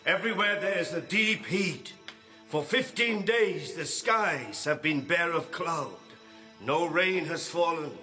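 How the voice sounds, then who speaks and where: Old seer, audio drama, solemn, incantatory, very slow, somewhat high voice. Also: male voice, bright, forceful tone, full character voice. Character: stern blind old seer.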